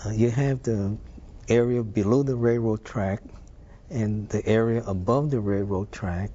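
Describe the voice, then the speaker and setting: An older man from Hawaii recalls his childhood in an unhurried, reflective voice. His tone is low and mellow. The audio is dull and lo-fi, with faint noise in the background. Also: husky. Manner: unhurried, thoughtful storytelling with filler words. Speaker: interview guest. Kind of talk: reminiscing interview.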